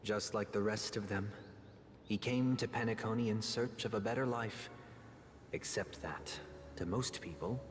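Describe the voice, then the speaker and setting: A man playing a grave, polite, melancholy family head in a video game, speaking in measured, formal monologue with rhetorical pauses. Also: fairly low pitch, a little hiss or hum, close to an everyday voice. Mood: grave, sorrowful. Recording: long reverb, loud music behind. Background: loud game music.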